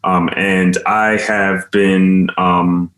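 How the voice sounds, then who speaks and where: A man speaking as an artist on a panel, talking hesitantly with many pauses and restarts. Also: sits low, little pitch movement, a bit bright, roomy sound. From United States. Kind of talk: panel conversation.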